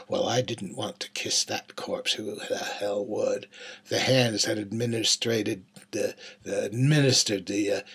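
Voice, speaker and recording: A man performing a dramatic monologue as a bitter, darkly joking young man of twenty-one, with a husky voice and folksy, colloquial asides. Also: mid-range voice. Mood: wry, uneasy.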